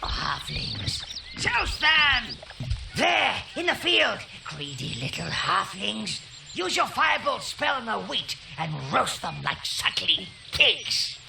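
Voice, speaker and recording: A man voicing a scheming, fawning old goblin-like servant of an evil master in a video game, theatrical and disdainful, with a gravelly voice. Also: high voice, sing-song, takes it slowly, background noise, full character voice. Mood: gleeful, sinister. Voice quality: gravelly, creaky. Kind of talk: video game character acting. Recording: music behind the voice.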